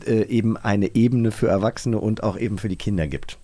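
A man's voice: on the low side, steady tone, fairly quick, dark tone. A man chatting casually as a podcast co-host, thinking aloud in a conversational way. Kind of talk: casual chat.

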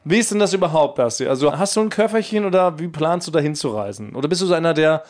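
Higher-voiced man in playful podcast chat. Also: animated, quick pace. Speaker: podcast host. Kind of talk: casual, joking podcast chat.

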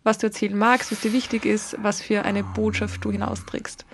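A woman's voice: medium pitch, level tone, moderate pace, a little hiss or hum. A woman hosting an interview, friendly and encouraging toward her guest. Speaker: podcast host. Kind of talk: casual interview.

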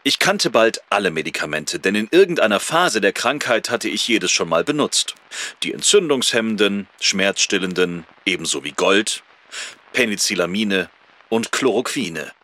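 A candid surgeon recounting his own illness in an audio drama. His voice sits fairly low, with a bright, forceful edge and lively intonation. He is reflective and deliberate, stressing his points. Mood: reflective, matter-of-fact. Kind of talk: first-person audio drama monologue, read from memoir.

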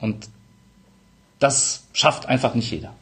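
A man's voice: mid-range voice, animated, takes it slowly, sharp tone. A man, explaining conversationally as an interview guest and illustrating his points with examples. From Palatinate, Germany. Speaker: interview guest, lawyer, mediator and negotiation trainer.

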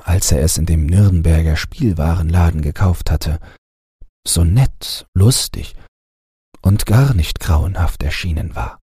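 A man narrating a fairy tale as an audiobook reader, with measured, expressive, dramatic delivery. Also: sits low, breathy edge, dry recording, studio quality.

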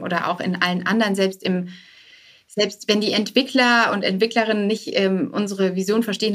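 A woman with a mid-pitched voice talks conversationally as the guest in a podcast interview. She thinks aloud, starting a thought, breaking off and rephrasing it as she goes. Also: fairly bright tone. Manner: halting, self-correcting, thinks aloud.

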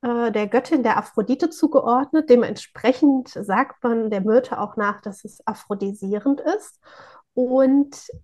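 A woman co-hosts a podcast in a casual, talkative way. Her voice sits a little high, and she often hesitates with small fillers while explaining. She sounds at ease. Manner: warm, chatty, with frequent filler pauses. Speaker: podcast co-host.

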